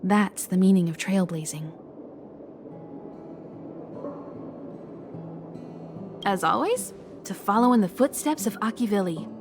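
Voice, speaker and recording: A woman playing a composed, encouraging mentor in a video game, speaking in a gentle, reflective and reassuring way. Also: mid-range voice, lively intonation, moderate pace, close to an everyday voice. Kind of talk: dramatic video game dialogue. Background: background music.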